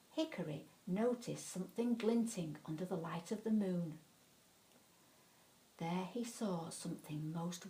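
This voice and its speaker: Female storyteller for children's bedtime tales, mid-pitched, expressive and dramatic with clear emphasis. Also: low-quality recording.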